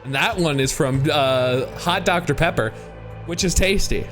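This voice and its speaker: A man's voice: fairly high pitch, normal speed, soft background music. A man streaming a multiplayer shooter, chatting casually with friends and laughing while he gives them directions. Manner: lively, laughing, giving teammates directions. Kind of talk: casual gaming chat.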